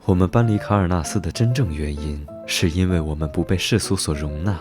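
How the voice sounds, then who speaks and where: An actor playing a suave, teasing young gentleman investigator in an animated drama, smooth-talking and nonchalant. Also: low-pitched, brisk, music in the background, natural voice. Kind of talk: Chinese animated drama dubbing.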